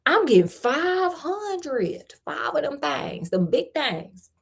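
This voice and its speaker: A woman's voice: high voice, very animated, takes it slowly, gravelly, pitched above the performer's own voice, full character voice. A woman with an American accent voicing a foolish, stubborn male peasant in a storybook, blustering and indignant as he complains. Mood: boastful.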